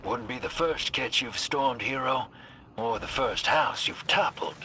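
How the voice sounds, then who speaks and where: A man's voice: mid-range voice, a little slow, pushed and bright, a little hiss or hum, rough recording. An actor playing a wry, streetwise male companion in a video game, talking in a clipped, folksy way. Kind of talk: video game dialogue acting.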